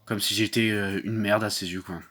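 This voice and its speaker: A man voicing a young battered wife who speaks softly and haltingly, repeating herself and trailing off. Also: lowish voice, little pitch movement. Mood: downcast.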